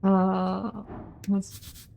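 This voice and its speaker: A woman's voice: medium pitch, completely flat delivery, very slow, vocal fry, mellow, slight background noise. A woman giving casual playthrough commentary on a game, musing aloud and questioning herself. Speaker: game streamer and narrator.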